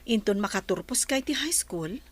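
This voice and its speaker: A woman playing an affectionate, gentle mother in an audio drama, speaking in a motherly, chuckling way. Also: mid-range voice, animated, normal speed, husky. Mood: fond. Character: affectionate, unhurried mother chatting about her child. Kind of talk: Filipino audio drama acting.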